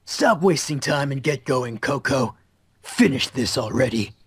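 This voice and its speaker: A man's voice: medium pitch, expressive, unhurried, husky, no echo. A man voicing an impatient, bossy mage comrade in an amateur anime visual novel dub, curt and dismissive.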